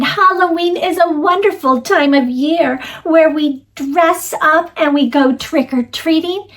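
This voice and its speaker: A woman reading a children's storybook aloud as host, animated and instructive, speaking directly to young listeners. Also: high-pitched.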